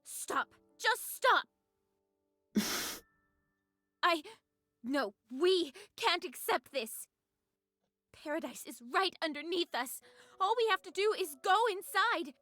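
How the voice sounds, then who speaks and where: A woman's voice: very high voice, lively intonation, slow pace, pauses often, pushed and bright, no room sound, soft background music, full character voice. An actress playing a proud, grieving young woman who leads a squad in a dramatic video game, speaking formally and emotionally. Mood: anguished, desperate.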